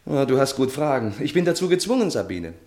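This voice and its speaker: An actor playing a weary, hard-drinking young charmer in a theatrical, cajoling 1960s German radio play style. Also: male voice, mid-range voice, very animated, fast, a little mellow. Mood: weary, exasperated.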